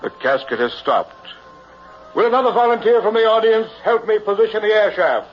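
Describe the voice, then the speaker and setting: A man's voice: high voice, lively intonation, a little fast. A man playing a showy carnival professor in 1960s radio horror, with formal, theatrical phrasing. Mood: grandiose pitchman. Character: showy carnival professor, huckster of a buried-alive act. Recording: vintage dull radio recording, music behind.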